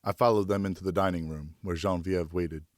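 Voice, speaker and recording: A man's voice: low-pitched, steady tone, fairly quick, no echo, clean studio sound. A man reading a novel aloud as an audiobook narrator, in scripted first person.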